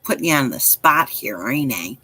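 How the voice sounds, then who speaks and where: A woman's voice: a bass voice, very animated, moderate pace, bright, forceful tone, breathy edge, no echo. Encouraging woman in her forties, close dry sound. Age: 40s. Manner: encouraging, reassuring, chatty, directly addressing listeners. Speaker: livestream host and coach. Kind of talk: casual livestream chat.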